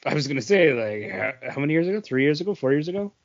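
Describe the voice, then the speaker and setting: A man's voice: a little high, big pitch swings, quick pace. A man co-hosting a podcast in casual, conversational chat. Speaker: podcast co-host. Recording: dull, lo-fi audio.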